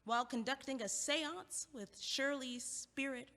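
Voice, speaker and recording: An actress in an audio play playing a feisty, sarcastic young woman who is sharp-tongued and combative. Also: sits high, unhurried, exaggerated voice. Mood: dry teasing. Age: young adult. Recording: echoey, long hallway-like reverb.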